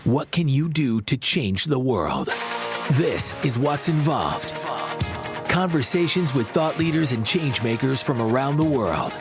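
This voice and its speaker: Man, announcer, scripted intro, inspirational, animated pitch, loud music bed. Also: unhurried. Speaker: show announcer.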